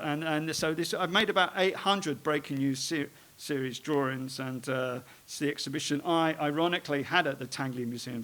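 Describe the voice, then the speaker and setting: Man, artist, informal talk, halting, rambling, lots of fillers, mid pitch. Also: a bit bright. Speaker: artist giving a talk on his work. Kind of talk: informal talk about his art.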